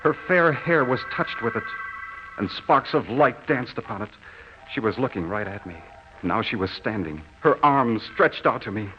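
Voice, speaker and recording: An actor playing an earnest, lovestruck young soldier home from war, in theatrical old-time radio drama style. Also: male voice, mid-range voice, expressive, lo-fi sound, close to an everyday voice. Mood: hushed awe. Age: young adult. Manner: theatrical, drawn-out, dramatic delivery. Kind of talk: old-time radio drama acting, narrated first person. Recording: noisy, band-limited old radio recording.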